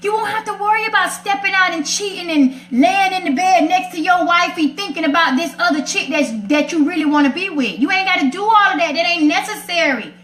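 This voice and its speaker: A woman's voice: very high voice, brisk, few pauses, a bit bright. An American woman hosting a casual radio chat, outspoken, sassy and emphatic as she gives her opinions. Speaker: radio segment host. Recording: roomy echo.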